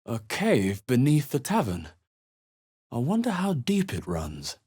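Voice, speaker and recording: Husky game adventurer hero, wry and musing, voice moves around a lot. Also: male voice, medium pitch, huge pitch swings, unhurried, darkish tone, exaggerated voice. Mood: wry, concerned. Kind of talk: video game voice acting, short reactive lines.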